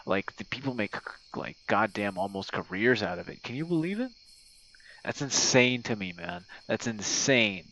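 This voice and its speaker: A man's voice: mid-range voice, sing-song, normal speed, creaky, sharp tone, a little hiss or hum, low-quality recording. A man giving a casual, rambling monologue like a voice message to a friend, hesitant and meandering, with easy swearing. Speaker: online audio creator and game streamer. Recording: outdoor night sounds with crickets. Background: crickets and insect night sounds.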